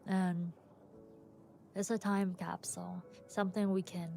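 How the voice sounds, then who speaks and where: A young woman hosting her own podcast, tired, chatting casually with pauses and soft laughs. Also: fairly low pitch, little pitch movement, gravelly. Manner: soft, rambling, frequent pauses and little laughs. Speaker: podcast host and streamer. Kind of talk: casual solo podcast chat. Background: soft background music.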